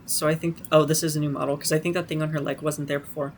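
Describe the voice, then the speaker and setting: A woman's voice: sits low, little pitch movement, quick pace, a little hiss or hum. A woman giving casual, informal playthrough commentary, musing aloud as a gaming streamer. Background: faint game music.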